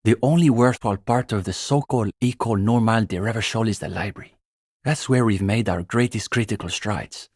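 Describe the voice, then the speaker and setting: A young student revolutionary for a video game, earnest and self-important. His voice sits fairly low with a husky grain, recorded close and dry. He speaks like a bookish intellectual, precise and given to lecturing. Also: fairly quick, darkish tone, no echo. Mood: pompous, earnest. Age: young adult. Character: earnest young male communist student, pompous intellectual zealot. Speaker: student leader of a revolutionary reading group. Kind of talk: video game character dialogue.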